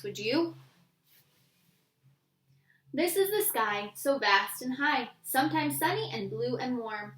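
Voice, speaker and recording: A woman with a high voice reads a children's story aloud in a roomy, echoing space. Her delivery is expressive and sing-song, and she stops to ask her young listeners simple questions.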